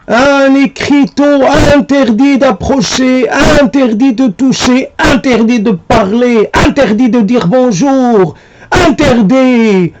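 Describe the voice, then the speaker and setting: High-voiced man reciting poetry very slowly. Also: darkish tone. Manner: halting delivery in short, separated phrases.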